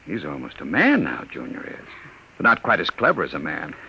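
Menacing radio-drama gangster lover: unruffled, suave, forceful bright tone, animated pitch. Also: male voice, fairly quick, vocal fry, breathy edge, full character voice, low-quality recording. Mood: coldly amused. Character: smooth-talking, cold-blooded male crook, controlling lover. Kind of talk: old-time radio drama acting. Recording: vintage radio recording.

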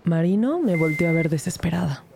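A woman's voice: fairly low pitch, expressive, brisk, creaky, background noise. An actress playing an earnest, driven police detective who narrates her case with serious, dramatic delivery in an audio drama. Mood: serious, tense. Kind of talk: scripted first-person radio drama narration.

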